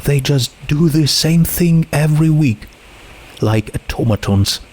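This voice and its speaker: A man's voice: fairly high pitch, a little slow, a little mellow, pitched above the performer's own voice. A man voicing an earnest, troubled teenage girl with a hesitant, searching manner in a story reading. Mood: pleading, uneasy. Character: troubled, earnest teenage girl doubting her world is real. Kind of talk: fan fiction character reading.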